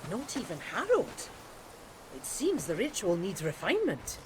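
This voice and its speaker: A woman's voice: mid-range voice, wildly swooping pitch, normal speed, noisy background. A woman voicing a coldly cruel coven witch in a video game, with a mocking, theatrical manner. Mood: cold mockery. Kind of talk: video game villain acting.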